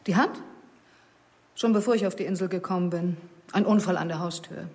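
An actress as a lowish-voiced, guarded female violinist. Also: a little fast, darkish tone, close to an everyday voice. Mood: composed. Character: composed, guarded female violinist, coolly formal. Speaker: actress playing a violinist and witness. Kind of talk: radio crime drama, questioned witness.

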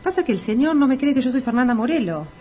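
Brazen, high-pitched Argentine swindler woman. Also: very animated, quick pace, dark tone, slight background noise. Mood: insistent. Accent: Rioplatense Argentine Spanish. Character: brazen woman swindler posing as an indignant pianist. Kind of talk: radio comedy acting.

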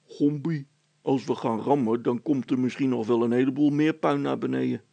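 A man's voice: medium pitch, fairly even intonation, normal speed, vocal fry, dark tone, no echo. A man voicing a simple, anxious village policeman in a storybook, hesitant and prone to repeating himself. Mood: worried. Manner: repetitive, hesitant.

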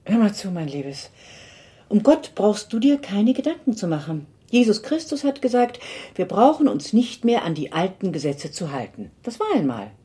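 An actress playing a practical, strict but caring middle-aged foster mother in a German radio drama, brisk and admonishing. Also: medium pitch, very animated, mellow, lightly acted. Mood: instructing. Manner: brisk, matter-of-fact, admonishing.

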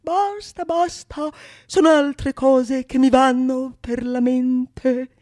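Vain male fool in love, pompous theatrical delivery, high voice played by a woman. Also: fairly even intonation, slow, warm tone. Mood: impatient, eager. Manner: pompous, self-satisfied. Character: vain, lovesick male fool boasting of his poetry. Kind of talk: theatrical radio monologue.

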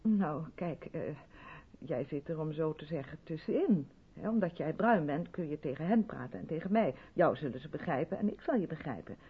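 Radio drama, female role: strict, insistent rehearsal leader, persuasive and pointed, very expressive intonation, muffled vintage sound. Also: deep voice, moderate pace, slightly rough, full character voice. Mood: earnest, confiding. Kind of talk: 1970s Dutch radio drama acting.

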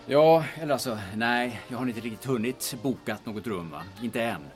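A man's voice: medium pitch, faint music behind, natural voice. A man playing a shifty, scheming auction agent in an audio drama, speaking in a hesitant, colloquial way. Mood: hesitant, wary. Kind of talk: audio drama acting with muttered inner monologue.

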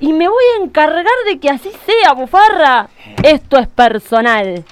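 A woman's voice: high voice, sing-song, moderate pace. An Argentine woman playing a haughty, theatrical female judge in a comic radio play. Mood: vengeful. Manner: theatrical, scolding, swinging to gushing.

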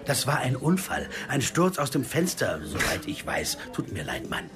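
A man's voice: mid-range voice, very animated, normal speed, fairly bright tone. A man playing a reluctant, wary American jazz pianist and former detective in a radio play, dry and guarded. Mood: dismissive, firm. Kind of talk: German radio play dialogue and inner narration. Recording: music playing behind the voice. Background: music.

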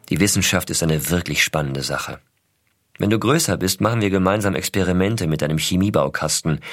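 A man playing an astronaut father reading his letters to his young son, measured and intimate, explaining things simply as to a child. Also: deep voice, a bit bright, full character voice. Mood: tender, wondering. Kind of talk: scripted narration, letters read aloud.

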